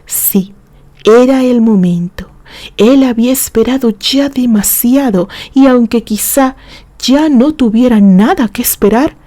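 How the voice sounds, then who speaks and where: A woman reads a romantic story aloud as a narrator, expressive and deliberate, with dramatic pauses. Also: on the high side, no room sound.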